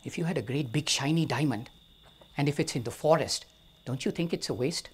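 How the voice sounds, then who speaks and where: A man voicing a proud, demanding ancient king who bargains and then commands, in a husky voice. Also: a little high, a little fast. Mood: coaxing.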